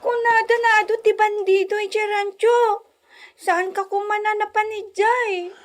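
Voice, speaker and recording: Very high-pitched, clingy little girl. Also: fairly even intonation, unhurried, background noise. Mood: tearful, pleading. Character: clingy, pleading little daughter of the landowner. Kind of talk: radio drama acting.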